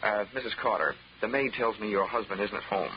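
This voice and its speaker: An actor playing a wisecracking insurance investigator in a 1940s radio drama, narrating and talking with a dry, wry manner. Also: male voice, lowish voice, brisk, noisy background, close to an everyday voice. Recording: muffled old radio broadcast with music.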